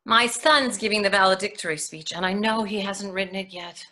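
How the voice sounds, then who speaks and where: A woman's voice: mid-range voice, a little fast, bright, forceful tone, lightly acted. A woman playing a defensive mother of a teenage son in a theatrical staged reading. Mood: worried, defensive. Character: defensive mother of a high school valedictorian son.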